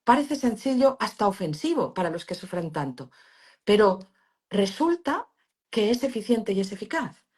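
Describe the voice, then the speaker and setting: A woman's voice: mid-range voice, moderate pace, husky. A middle-aged woman with a Spanish accent from Spain, whose first language is Valencian, explaining pain therapy as an interview guest in an emphatic, teacherly way. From Valencia region, Spain. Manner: emphatic, expressive, teacherly, with many fillers and restarts.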